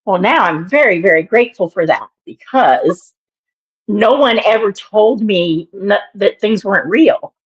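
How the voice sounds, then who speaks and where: This woman in her sixties has a naturally raspy voice, roughened further by allergies that keep her clearing her throat. She speaks at a middle pitch and meanders, pausing and restarting as she recalls things. The recording sounds dull and lo-fi. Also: expressive. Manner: meandering, halting, with many fillers and restarts. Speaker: guest, minister and author. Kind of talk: reflective interview storytelling.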